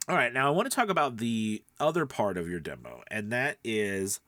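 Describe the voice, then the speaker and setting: A man's voice: lowish voice, sing-song, moderate pace, a bit bright, no echo. A man giving casual instructional talk as a voiceover coach, conversational and emphatic, often checking in with the listener. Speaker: voiceover coach and podcast host.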